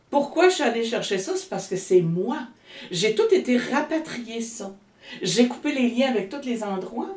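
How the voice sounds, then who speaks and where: A woman's voice: on the high side, darkish tone, distant mic. Québécois woman rambling healer in echoey room. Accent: Québécois French. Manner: rambling, many restarts and fillers. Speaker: energy healer guest. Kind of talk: casual interview.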